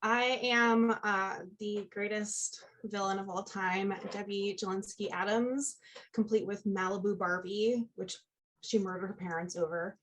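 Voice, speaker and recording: A woman's voice: medium pitch, fairly even intonation, measured pace, a bit bright, rough recording. A woman speaking casually on a video call. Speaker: participant in an online costume party. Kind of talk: casual chat.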